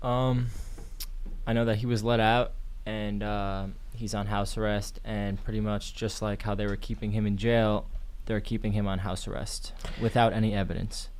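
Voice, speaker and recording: A man's voice: fairly low pitch, little pitch movement, moderate pace, darkish tone, clean tone. A man who is the podcast's producer, chiming in tentatively and matter-of-factly during casual chat.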